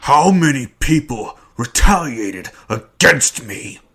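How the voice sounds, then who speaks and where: A man's voice: mid-range voice, very animated, takes it slowly, a crowd in the background. A man playing a menacing conqueror supervillain in an amateur comic-book dramatic reading, with cold, theatrical deliberation that turns to rage. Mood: enraged taunting. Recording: music behind the voice.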